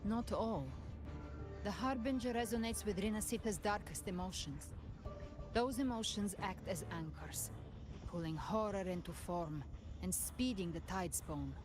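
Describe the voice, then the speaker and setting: A woman playing a cool, confident avenger who hunts monsters in a video game, speaking in a measured, dramatic way. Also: medium pitch, lightly acted. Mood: intent, grave. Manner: measured, dramatic, self-assured. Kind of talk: scripted video game voice acting. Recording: game audio with loud music behind. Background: loud game music.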